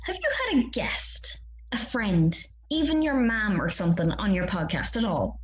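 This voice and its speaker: Brash, animated young woman acted, swooping pitch. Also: normal speed, lightly acted, rough recording. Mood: mocking, defiant. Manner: confrontational, teasing. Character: brash, mocking young woman needling a podcaster. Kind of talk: scripted comedy audio drama.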